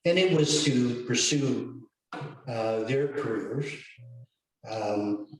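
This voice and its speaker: A man from Canada speaking as a guest on a video call, slow and thoughtful with many pauses. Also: mid-range voice, very echoey, recorded from a distance, rough recording. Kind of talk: casual talk on a video call.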